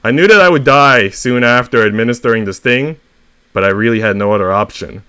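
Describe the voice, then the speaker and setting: A man's voice: mid-range voice, a little fast, pushed and bright, clean tone, close to an everyday voice. A man voicing an indignant, melodramatic honeybee, a devoted father, in a dramatic, aggrieved story reading.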